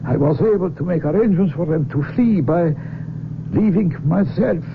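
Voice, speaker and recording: An actor playing an aging, proud Russian research doctor in a radio drama, speaking formally and deliberately with a Russian accent. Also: male voice, a little high, lively intonation, gravelly. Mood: proud, sorrowful. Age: older. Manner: formal, deliberate phrasing, searching for words. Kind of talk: 1970s radio drama acting. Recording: noisy, narrow-band old radio recording.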